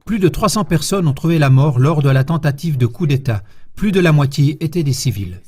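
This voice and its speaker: Formal male news voice-over, slightly high. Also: expressive, mellow, slightly rough. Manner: measured, formal reading. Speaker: news narrator. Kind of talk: scripted voice-over narration.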